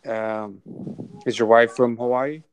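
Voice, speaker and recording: Man, middle pitch, casual group call, chatty, keeps asking questions. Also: steady tone, takes it slowly, slight background noise, rough recording. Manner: chatty, asks lots of questions. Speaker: regular hangout participant. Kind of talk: casual online group chat.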